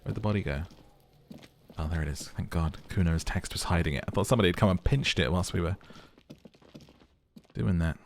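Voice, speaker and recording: A man's voice: low-pitched, fairly quick, long pauses, slight background noise. A man reading game text aloud and chatting through a let's play, musing as he goes. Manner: chatty, musing aloud between narrated passages. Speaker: gaming commentator.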